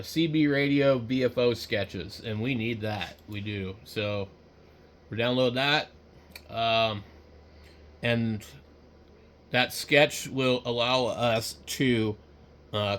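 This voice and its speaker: A man giving casual, unscripted commentary while working at a computer, speaking haltingly and trailing off as he thinks aloud. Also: medium pitch, fairly bright tone. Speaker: hobbyist host.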